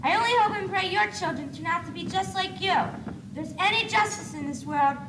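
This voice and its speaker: A woman's voice: extremely high pitch, a little fast. A young woman playing an exasperated mother, sarcastic and indignant as she scolds, in an amateur stage comedy. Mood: exasperated scolding. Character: exasperated, scolding mother of a messy teenage boy. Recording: echoey noisy 1980s stage videotape.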